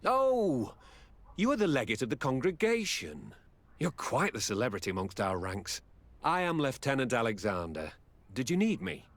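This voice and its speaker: An actor playing a guarded army lieutenant in a video game, speaking in a formal, deferential way. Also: male voice, medium pitch, huge pitch swings, moderate pace, fairly bright tone. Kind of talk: dramatic video game dialogue.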